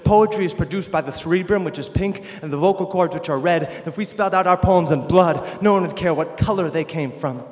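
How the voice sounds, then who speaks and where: Man poet in a booming hall. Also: fairly quick, hardly any pauses, darkish tone. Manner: rhythmic, punchy delivery. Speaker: spoken word poet. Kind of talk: spoken word performance. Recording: echoey hall with long reverb.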